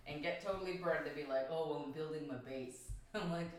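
A woman's voice: deep voice, fairly quick, far from the mic. A young woman whose first language is Vietnamese, a podcast guest who laughs through her stories. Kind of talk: casual chat. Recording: echoey room, off-mic.

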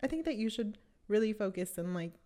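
A woman's voice: mid-range voice, expressive, brisk, dark tone. Chatty young woman, podcast co-host. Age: 20s. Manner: chatty, many fillers and restarts, laughs often. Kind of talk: casual chat among friends.

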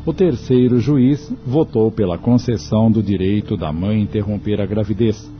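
Man as radio novel narrator, Brazilian accent, measured scripted storytelling, low pitch, dull band-limited sound. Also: lightly acted. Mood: measured, solemn. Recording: dull radio audio, music behind.

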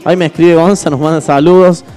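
A man in his twenties with an Argentine accent, hosting a casual podcast chat with quick jokes. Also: fairly high pitch, soft background music. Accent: Argentine Spanish. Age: 20s. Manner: fast, joking, animated storytelling. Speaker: radio podcast host.

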